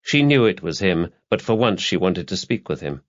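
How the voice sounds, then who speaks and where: Low-voiced, expressive male audiobook narrator. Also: normal speed, lo-fi sound. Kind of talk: scripted crime-novel narration.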